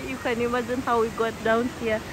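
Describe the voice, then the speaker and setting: A woman's voice: on the high side, little pitch movement, fairly quick. A young woman in her twenties vlogging a hike on a forest trail, chatty and giggly, switching between English and Swahili, filmed on a phone held at arm's length. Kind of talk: casual vlog narration while hiking. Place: outdoors on a forest trail near a waterfall. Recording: outdoor noise from stream and waterfall.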